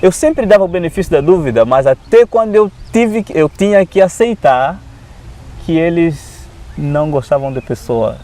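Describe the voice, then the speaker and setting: A man from Africa with an African accent, a non-native Portuguese speaker, telling his testimony as an Adventist preacher in an animated way, often adding "né". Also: on the high side, moderate pace. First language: not Portuguese. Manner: animated storyteller who often adds "né". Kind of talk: personal testimony in conversation.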